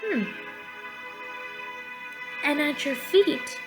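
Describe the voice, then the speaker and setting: Young lady drama teacher, kinda high voice, encouraging, guiding kids thru an activity, faint music behind, webcam at home. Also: lively intonation, drawn-out words. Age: young adult. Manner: encouraging, clearly explaining to young students. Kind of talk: instructional talk and guided pantomime narration for children. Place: living room at home, indoors. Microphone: webcam or laptop at arm's length. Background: soft quiet music.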